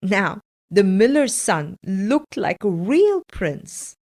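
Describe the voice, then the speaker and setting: A woman's voice: mid-range voice, very animated, takes it slowly, darkish tone, a little hiss or hum. A woman narrating a children's fairytale from a script, as a storyteller.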